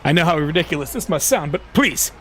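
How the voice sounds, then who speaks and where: A male narrator voicing a desperate young man begging for a taxi, with a shrill, pleading voice. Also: lively intonation, fast talker, fairly bright tone, slight background noise.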